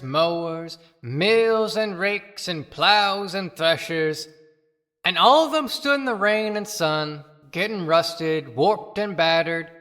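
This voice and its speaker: A woman playing a dead farmer who reflects deliberately and ruefully on a wasted life in an audio play. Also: fairly low pitch, a bit bright. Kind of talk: dramatic monologue in an audio play. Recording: long echoing hall reverb.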